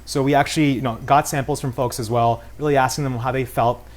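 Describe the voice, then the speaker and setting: A man's voice: mid-range voice, steady tone, fast talker. A man talking casually and enthusiastically as a guest at a cooking demonstration, with a promotional way of talking. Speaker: guest cook and beer brand co-founder. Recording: clear noise of frying and sizzling. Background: sizzling pan in a cooking demo.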